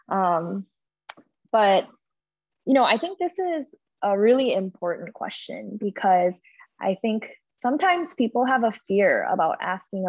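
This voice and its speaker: A young woman talks as a panelist in an online webinar. She explains her points earnestly and reflectively at a medium pitch. The video-call sound is dull, with very little room echo. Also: a little mellow.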